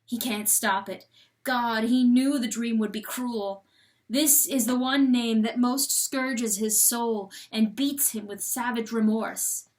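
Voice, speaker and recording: A woman giving a dramatic, intense and deliberate storybook narration. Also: on the high side, fairly even intonation. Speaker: audiobook narrator.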